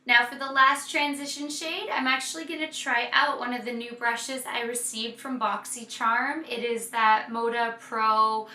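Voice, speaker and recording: A woman with a bright, somewhat high voice, slightly hoarse from overuse, gushing enthusiastically as she talks through a makeup tutorial. She is recorded in an echoey room with a faint hiss underneath. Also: fairly even intonation, a little slow, hardly any pauses. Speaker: beauty vlogger.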